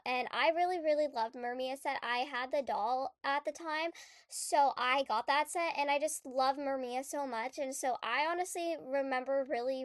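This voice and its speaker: Young woman vlogger, high voice, stuffy with a cold, chatty with lots of fillers; dry close mic. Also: no room sound. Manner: chatty and rambling, many fillers and restarts. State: congested from a cold. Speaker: gaming YouTuber. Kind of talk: casual vlog commentary.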